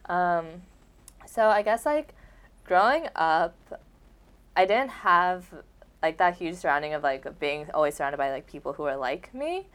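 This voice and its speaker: Young woman, student type, smooth low-ish voice, loose and chatty with plenty of fillers. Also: measured pace. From Oregon, United States. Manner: relaxed, rambling, many filler words. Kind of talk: casual conversation.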